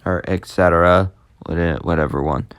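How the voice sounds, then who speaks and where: A man with a low, smooth voice walks through an app in a casual, unscripted way. He takes his time, often pausing partway through a sentence. The sound is dry and close, with little room on it. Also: dry recording. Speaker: YouTube host demonstrating an app. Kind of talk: casual unscripted tutorial commentary.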